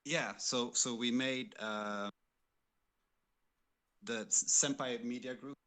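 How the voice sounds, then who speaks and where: A man speaking as a game developer guest in a casual livestream chat, with hesitant, halting phrasing. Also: medium pitch, steady tone, pushed and bright. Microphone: computer microphone on an online call with noise suppression.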